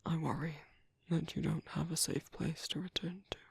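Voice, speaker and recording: A man with a British accent playing a gentle, protective vampire priest in an ASMR roleplay, speaking softly and hesitantly with courteous, formal phrasing. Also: a little high, steady tone, normal speed, no room sound, heavily acted. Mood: tender, earnest. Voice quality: soft, breathy, husky, often near-whispered. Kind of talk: ASMR roleplay, intimate soft-spoken monologue.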